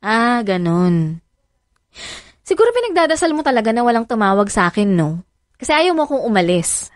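A woman's voice: a little high, big pitch swings, moderate pace, no room sound, studio quality. A woman playing a devoted, determined mother in a radio drama, coaxing and pleading expressively. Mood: hurt, frustrated. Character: devoted, determined young mother and frustrated mistress.

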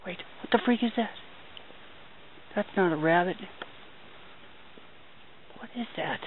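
A young man with a fairly high voice talks through a night hike, excited and chatty as he spots animals. The sound is thin and tinny, like a cheap handheld camera mic. A faint hiss sits under the whole outdoor recording. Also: slow pace. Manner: excited, chatty, mild minced oaths. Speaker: hiking vlogger. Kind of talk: hushed running commentary while filming.

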